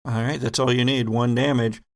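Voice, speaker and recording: A man hosting a tabletop horror game as game master, narrating scenes in a measured, descriptive way. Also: medium pitch, flat delivery.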